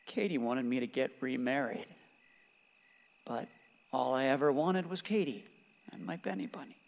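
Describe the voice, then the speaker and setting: A man's voice: medium pitch, moderate pace, creaky, natural voice. An actor playing a grieving, guilt-ridden father who clings to his guns, in a radio play. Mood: sorrowful, bitter. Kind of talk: stage-like radio play acting. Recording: reverberant hall-like room.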